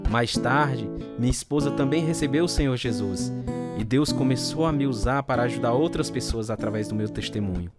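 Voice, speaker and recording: A man's voice: mid-range voice, brisk, natural voice. An actor playing an earnest, repentant former alcoholic telling his life story in a Brazilian radio drama. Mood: grateful. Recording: loud guitar music under the voice.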